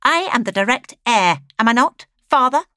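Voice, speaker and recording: A woman's voice: a little high, expressive, normal speed, sharp tone, clean tone, no room sound, clean studio sound, voice pushed up. Woman putting on a snapping haughty heiress. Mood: snappish. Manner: snapping, imperious. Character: sharp-tongued haughty middle-aged woman heiress.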